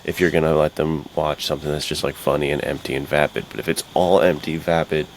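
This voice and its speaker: A man's voice: very deep voice, little pitch movement, fast talker, slight background noise. A man talking as a podcast co-host in an earnest, informal, conversational way. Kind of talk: casual podcast chat.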